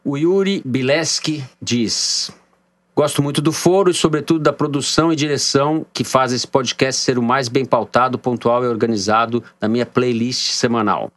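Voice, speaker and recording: A man's voice: medium pitch, normal speed, a bit bright, dry recording. A man with a São Paulo accent hosting a political podcast, bantering with co-hosts and reading listener messages in a jokey way. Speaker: podcast host. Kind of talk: casual political podcast chat.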